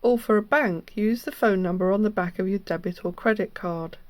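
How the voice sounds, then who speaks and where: A woman's voice: medium pitch, moderate pace, no echo. Woman narrating earnestly, dry close sound. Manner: earnest, instructive. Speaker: host. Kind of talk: explanatory scam-awareness narration.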